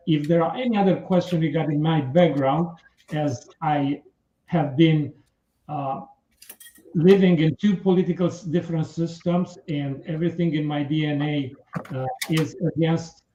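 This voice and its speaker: Earnest Romanian-accented man, halting and measured, warm tone, muffled echoey studio. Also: on the high side, fairly even intonation, slight background noise. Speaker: political candidate. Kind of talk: radio interview.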